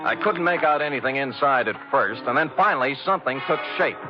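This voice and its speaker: Wry hard-boiled detective , male actor, mock-formal narration, animated intonation, on a dull vintage radio recording. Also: medium pitch, quick pace, music in the background, natural voice. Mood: tense, wry.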